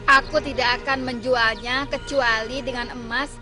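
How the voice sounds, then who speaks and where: A woman's voice: fairly high pitch, steady tone, moderate pace, lightly acted. A woman voicing a young orphan boy who speaks earnestly and warily, with music playing behind. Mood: wary. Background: background music.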